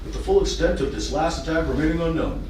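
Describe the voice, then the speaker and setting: A man's voice: a little high, animated, fast, voice pushed up. A man playing a grave, urgent television news anchor as he reads a script aloud at a table read. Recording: roomy, distant, hissy room recording.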